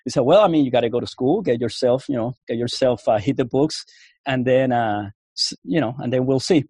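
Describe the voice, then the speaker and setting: A man's voice: medium pitch, a little fast, a little mellow, husky, no echo. A man from Puerto Rico with a Cuban accent, an Army veteran telling his story in a casual interview, rambling with many restarts and fillers.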